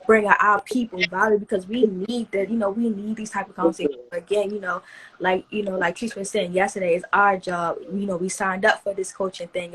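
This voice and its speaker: A woman's voice: medium pitch, slightly rough, poor audio. Chatty woman coach, loose and rambling. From not from the Caribbean. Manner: relaxed, loose and chatty, full of "you know" fillers. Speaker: fitness coach hosting a live stream. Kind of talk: casual live-stream chat.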